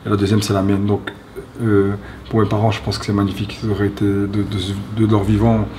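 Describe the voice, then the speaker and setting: A man speaking as an interview guest, thoughtful and halting, with many pauses and restarts. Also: on the low side, completely flat delivery, background noise. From Belgium. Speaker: guest, retired fighter. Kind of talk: reflective interview talk.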